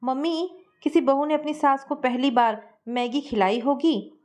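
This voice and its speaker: A woman's voice: sits high, a little slow, darkish tone, pitched above the performer's own voice. Woman reading as young husband, playful, teasing, storybook family tale. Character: young married son, playful teaser.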